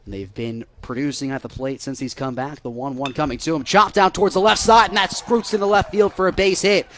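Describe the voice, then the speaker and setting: American guy doing baseball radio play by play, voice a bit high, gets excited on plays. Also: fairly quick, slight background noise. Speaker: play-by-play broadcaster.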